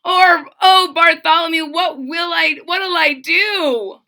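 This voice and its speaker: A woman voicing an excitable male royal trumpeter in a children's storybook, panicked and determined, with shouted, wailing exclamations. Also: very high voice, takes it slowly, vocal fry, pitched above the performer's own voice, full character voice. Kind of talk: children's storybook read-aloud.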